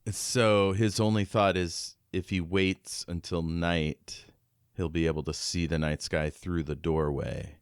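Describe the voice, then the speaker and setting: A man's voice: sits low, fairly even intonation, unhurried, clear, resonant tone, no echo, studio quality. A man co-hosting a podcast, casually discussing a book and reading bits of it out. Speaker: podcast co-host.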